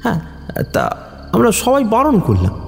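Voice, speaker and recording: An actor playing a rustic young husband who tells village ghost tales in a nervous, halting, folksy way. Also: male voice, very high-pitched, wildly swooping pitch, gravelly, darkish tone, full character voice. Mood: hushed, uneasy storytelling. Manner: hesitant, halting, folksy storytelling with fillers. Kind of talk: Bengali audio horror story acting. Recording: echoey reverb with music underneath.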